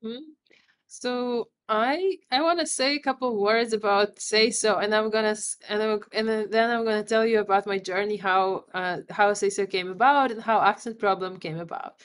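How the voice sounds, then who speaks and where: A woman's voice: mid-range voice, a little hiss or hum. Polish woman founder on a video call. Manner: thoughtful, halting restarts, light laughs. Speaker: company founder, guest. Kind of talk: casual interview. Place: home room on a video call.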